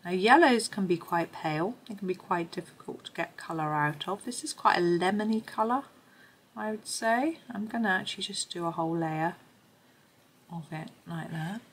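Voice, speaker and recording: Low-voiced woman, slightly croaky, chatting. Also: a little hiss or hum. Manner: chatty, unhurried thinking aloud. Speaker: host of a colouring supplies review. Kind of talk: casual chat while demonstrating.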